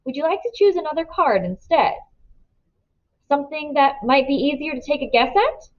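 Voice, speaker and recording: A woman voicing a gentle, encouraging female schoolteacher in a children's novel, speaking in a coaxing way. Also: sits high, lively intonation, moderate pace, muffled recording, voice pushed up. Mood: kindly coaxing. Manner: questioning, kindly coaxing. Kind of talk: children's novel read aloud.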